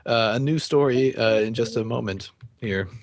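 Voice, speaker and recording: A man with a medium-pitched voice co-hosts a group livestream. He chats casually and playfully, with a jokey, punning way of talking. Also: moderate pace, a little hiss or hum. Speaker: livestream co-host.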